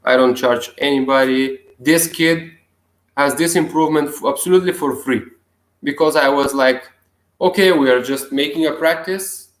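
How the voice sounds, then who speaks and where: A young man from Bulgaria with a Bulgarian accent, talking casually to camera in halting English with many restarts and chuckles. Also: a little high, roomy sound, poor audio. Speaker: self-healing vlogger.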